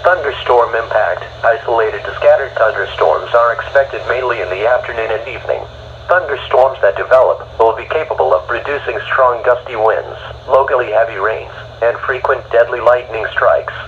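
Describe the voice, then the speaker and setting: An American man's synthetic text-to-speech voice reading a weather bulletin in a flat, formal way. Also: medium pitch. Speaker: automated weather radio announcer. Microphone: phone held to a radio speaker. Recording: dull radio broadcast re-recorded by phone, faint noise.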